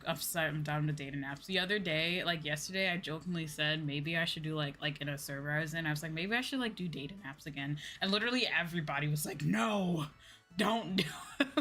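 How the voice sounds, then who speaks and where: An American woman chatting casually to viewers as a livestream host, animated and repeating phrases for emphasis. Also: low-pitched, fairly quick, soft background music. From United States.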